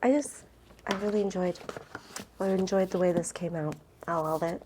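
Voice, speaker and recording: A woman's voice: on the low side, fairly even intonation, normal speed, background noise. A woman book vlogger chatting casually and rambling to camera, with an informal, gushing and emphatic way of talking.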